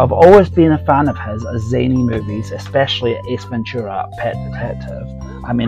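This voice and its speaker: A man reading scripted stories aloud, then chatting casually with fillers, his voice going husky. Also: mid-range voice, darkish tone, lo-fi sound. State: voice getting husky. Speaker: podcast host.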